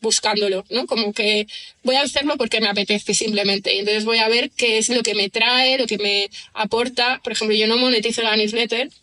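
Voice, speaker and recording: Bright-voiced woman musing aloud. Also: on the high side, fairly even intonation, fairly quick, low-quality recording. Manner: thoughtful, rambling, frequent tag questions and fillers. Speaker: guest writer and screenwriter. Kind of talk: conversational podcast interview.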